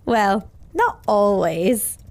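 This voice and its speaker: A woman narrating a children's story as an expressive storyteller. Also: mid-range voice, very slow, gravelly.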